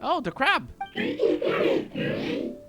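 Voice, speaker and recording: A man's voice: very high voice, wildly swooping pitch, very slow, vocal fry. A man giving casual let's play commentary, reacting in short remarks and echoing the game's dialogue. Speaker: game streamer. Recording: loud game music behind.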